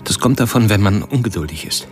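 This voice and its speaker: An actor playing a cold, erudite serial killer in a radio drama monologue, pedantic and measured, addressing the listener directly. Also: male voice, fairly low pitch, lively intonation. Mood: smug, detached. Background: music.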